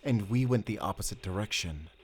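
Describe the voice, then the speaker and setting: An actor playing a weary but determined, defiant werewolf hunter in a cinematic audio drama, speaking in an earnest, measured, tense way. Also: male voice, on the low side, lively intonation, close to an everyday voice. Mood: shrewd. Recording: noisy outdoor night ambience.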